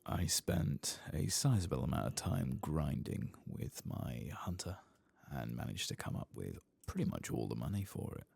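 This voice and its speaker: A man giving soft-spoken ASMR commentary over video game play, hushed and at times slipping into a whisper close to the mic. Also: very deep voice, sing-song, normal speed, vocal fry, hardly any pauses, slightly rough, no room sound. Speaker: host of a gameplay channel.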